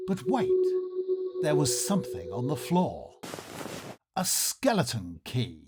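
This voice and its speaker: A man playing a melodramatic Victorian nobleman, narrating in radio theatre style with formal diction and suspenseful dramatic pauses. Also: mid-range voice, huge pitch swings. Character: melodramatic Victorian nobleman recounting his terror. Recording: music playing under the voice.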